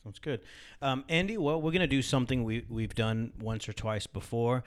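A man who is a youth pastor co-hosting a podcast, lively and reacting with quick remarks. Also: mid-range voice. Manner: lively, reacts with short exclamations.

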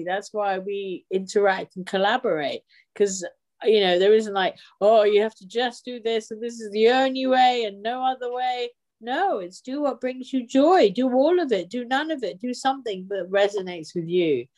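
A woman's voice: a little high, normal speed, darkish tone. Effusive, chatty woman. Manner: effusive and chatty, laughs often. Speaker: guest, leads an online spiritual support group. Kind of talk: casual video chat interview.

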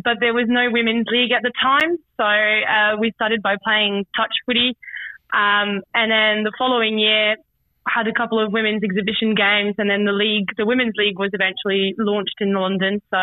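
A woman's voice: mid-range voice, flat delivery, normal speed, dry recording. Young woman on a phone line. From Paris, France. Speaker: amateur footballer being interviewed. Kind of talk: casual phone interview. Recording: tinny telephone line.

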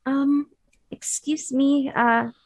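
A woman's voice: high voice, steady tone, drawn-out words, darkish tone, dry recording. A woman chatting casually in character as a player in a tabletop game.

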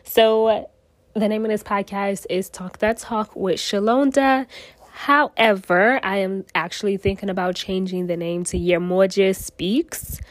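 A woman's voice: medium pitch, steady tone, measured pace, no room sound. A young woman hosting a solo podcast, sounding nasal from a cold and speaking earnestly in short phrases into her phone. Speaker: podcast host.

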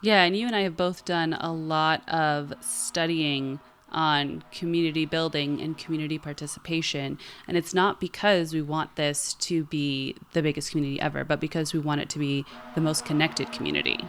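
A woman's voice: deep voice, normal speed, hardly any pauses, a bit bright, slight background noise. A woman co-hosting a podcast, talking in a casual conversational style. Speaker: podcast co-host.

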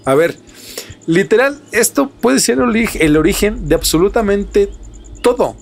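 A man's voice: high voice, expressive, unhurried, gravelly, slight background noise. A man with a Mexican accent hosting a podcast, telling things in a casual, colloquial way and repeating words for emphasis. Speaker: podcast host.

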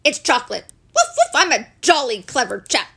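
A woman voicing a boastful, excitable male pet dog in a children's story, punctuating the talk with loud woofs. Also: high-pitched, fairly quick, vocal fry, slightly rough, heavily acted. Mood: boastful, gleeful.

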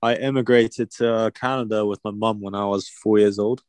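A man's voice: on the low side, fairly even intonation, moderate pace, dry recording. A young man born in China, chatting casually and teasing his friend with frequent laughs. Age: young adult. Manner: laid-back, teasing, laughs often. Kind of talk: casual chat.

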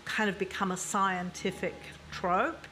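A woman speaking as a panel guest, frank and thoughtful, pausing often with fillers and restarts. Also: mid-range voice, steady tone, bright, forceful tone, slight background noise, poor audio. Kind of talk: panel discussion.

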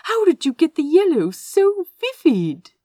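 A woman voicing a refined, gentle male prince in an audiobook story, with an effusive, genteel and exclamatory manner. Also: extremely high pitch, huge pitch swings, a little slow, dry recording, clean studio sound, pitched above the performer's own voice, heavily acted. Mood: delighted excitement. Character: Azra, refined male prince, gentle, delighted, easily awed. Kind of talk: storybook character reading.

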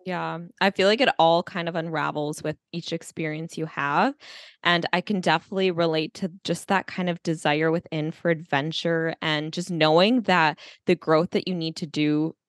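A woman's voice: low voice, steady tone, normal speed, no echo, clean studio sound. A woman hosting a podcast in casual chat, talking freely with lots of fillers and easy laughs. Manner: chatty, laughs easily, many fillers. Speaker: podcast host.

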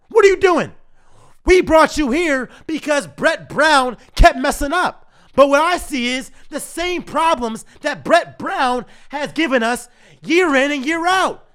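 A man's voice: very high voice, a bit bright. A man ranting heatedly at his team as a fan, repeating himself, his voice strained from cheering. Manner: heated, repetitive, exasperated ranting. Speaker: sports fan. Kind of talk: ranting commentary to camera.

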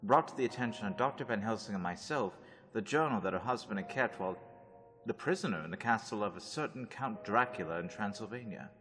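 A man as a formal, measured doctor telling the story in a lowish voice, like an old radio play. His narration hangs in a long hall reverb over faint music. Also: lightly acted. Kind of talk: 1930s radio drama narration.

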